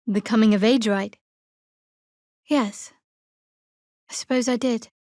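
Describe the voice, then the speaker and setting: A woman's voice: mid-range voice, no room sound, close to an everyday voice. A woman playing a guarded, sardonic half-elf cleric in a fantasy video game, with a dry delivery.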